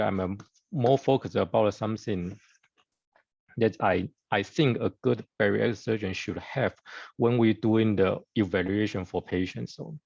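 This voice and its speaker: A man from Taiwan, giving a conference talk over a video call in halting English with many fillers and restarts. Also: lowish voice, vocal fry, darkish tone, no echo, muffled recording. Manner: halting, hesitant with many fillers and broken grammar. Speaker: guest speaker surgeon.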